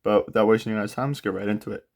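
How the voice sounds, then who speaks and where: A young man walks through a model unboxing in an easygoing, unscripted way, pausing often on fillers. His voice sits very low with a rough, gravelly edge. A cold leaves him slightly stuffy and nasal. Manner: relaxed, many filler pauses. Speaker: aviation model channel host. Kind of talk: casual product unboxing walkthrough.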